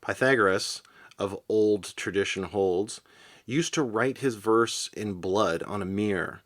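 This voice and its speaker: Slow, low-pitched man reading poetry. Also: a bit bright. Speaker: poetry reader. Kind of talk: literary poem reading.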